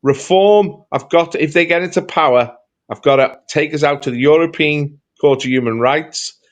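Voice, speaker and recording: A man's voice: a little high, moderate pace. A man with a Liverpool accent speaking as a political commentator in an impassioned discussion, emphatic and rhetorical, repeating phrases for effect. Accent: Liverpool Scouse. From Liverpool, England.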